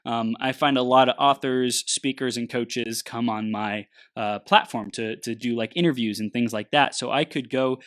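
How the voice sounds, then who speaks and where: A man hosts a casual podcast interview in a voice of middling pitch. He talks enthusiastically and keeps affirming his guest. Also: moderate pace, few pauses, a bit bright. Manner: enthusiastic, gives frequent affirmations. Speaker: podcast host.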